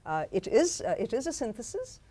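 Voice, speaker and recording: A woman professor fielding questions in a lecture hall, her voice lively and swinging up and down in pitch. She answers in an emphatic, pointed way, sure of her ground. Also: brisk. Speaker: author and history professor. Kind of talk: answering audience questions at a book talk.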